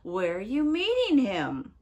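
A woman voicing a fussy owl in a read-aloud picture book, loud and high with hoots. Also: huge pitch swings, takes it slowly, exaggerated voice. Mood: prim.

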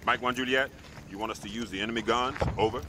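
Male actor as veteran army sergeant, gruff, terse, authoritative, video game, noisy outdoor mix. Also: medium pitch, fairly even intonation, fast, lightly acted. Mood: businesslike. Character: gruff veteran army sergeant, weary and commanding squad leader. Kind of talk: military video game acting.

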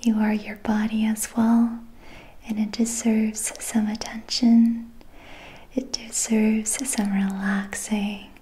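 Slow, whispering young woman, soft and soothing. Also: a little high, little pitch movement, poor audio. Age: young adult. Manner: soothing, gentle, unhurried. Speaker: ASMR host guiding breathing and relaxation. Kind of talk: whispered ASMR guided meditation. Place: small dim quiet room indoors.

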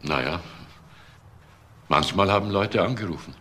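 An actor playing a deferential household servant and caretaker in a crime radio drama, explaining hesitantly and repeating words. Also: male voice, sits low, animated, measured pace, a bit bright, a little hiss or hum. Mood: hesitant, explanatory.

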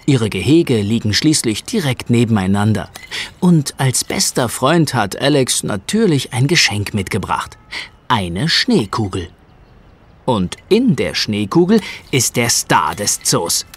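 A man narrating a children's audio play as an expressive storyteller. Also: medium pitch, measured pace, slightly rough. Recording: music under the voice.

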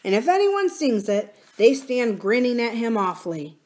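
A woman's voice: fairly high pitch, sing-song, moderate pace, a little mellow, voice pushed up. Woman voicing a bold, cheerful young miner boy. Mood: confident. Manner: plain-spoken, matter-of-fact, self-assured. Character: confident cheerful twelve-year-old miner boy, blunt and fearless.

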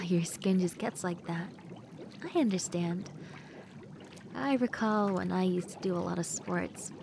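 A woman acts out a friendly young woman relaxing with her crush in an audio roleplay. She speaks slowly at a medium pitch, intimate and gently teasing. Hot tub water runs clearly behind her voice. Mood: shyly teasing. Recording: steady running water noise behind voice. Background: bubbling hot tub water sounds.

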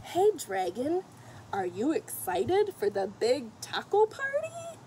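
A middle-aged woman reading a picture book aloud to children in an expressive, emphatic way, recorded outdoors on a camera a short distance away. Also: high voice, takes it slowly. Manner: expressive, emphatic, addressing children and characters directly. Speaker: children's story reader. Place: outdoors on a fenced patio or deck. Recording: outdoor camera audio with faint noise.

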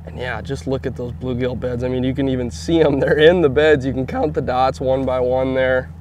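A man's voice: medium pitch, fairly quick, slight background noise. A man in his thirties, an outdoors host, explaining fishing technique on a boat in an easygoing, explanatory way. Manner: easygoing, explanatory, laughs often when excited. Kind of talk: casual fishing instruction.

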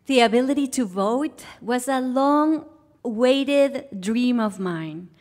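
Higher-voiced Guatemalan woman telling her story. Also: expressive, slow pace, a little mellow, faint music behind. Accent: Guatemalan Spanish. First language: Spanish. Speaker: guest storyteller. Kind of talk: personal story told to a live audience. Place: live stage show.

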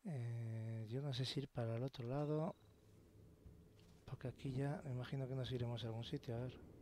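Young Spanish man, hushed gameplay chatter. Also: mid-range voice, steady tone, moderate pace. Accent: Spain. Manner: swears casually, drops to whispers when sneaking. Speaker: let's play host. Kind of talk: gameplay commentary. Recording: game ambience noise behind.

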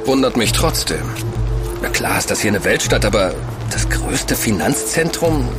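A man's voice: medium pitch, big pitch swings, normal speed, loud music behind the voice, lightly acted. An actor playing a curious, sceptical young man in casual radio-drama dialogue. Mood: doubtful, curious. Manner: questioning, casual. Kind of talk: naturalistic audio-drama dialogue.

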